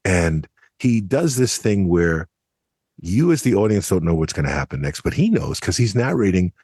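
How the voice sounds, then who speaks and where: A man's voice: low-pitched, very animated, moderate pace, a little mellow, slightly rough, no room sound, studio quality. An American man giving conversational podcast analysis in an explanatory, emphatic way. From United States. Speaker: podcast commentator.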